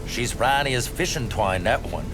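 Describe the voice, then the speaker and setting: A man's voice: mid-range voice, animated, moderate pace, over loud music, lightly acted. A man playing a good-natured, lovesick soldier in a video game, folksy and rambling, with a Newfoundland accent. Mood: cheerful concern. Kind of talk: dramatic video game acting.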